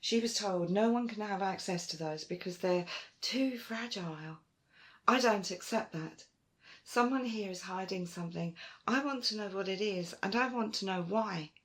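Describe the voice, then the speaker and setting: A woman playing a proud, stubborn archaeologist in an audio roleplay, with emphatic, expressive delivery. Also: normal speed, lightly acted. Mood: suspicious, determined. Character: Dune, proud, determined female archaeologist, stubborn and warm to friends. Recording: roomy, faint rumbling noise.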